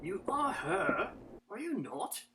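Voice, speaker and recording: A woman's voice: mid-range voice, big pitch swings, slow pace, background noise. Woman acting scheming, imperious fairytale queen. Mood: accusing. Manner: imperious, formal. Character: scheming, menacing middle-aged queen, coldly imperious. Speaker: voice actor in an audio drama. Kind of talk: fairytale audio drama acting.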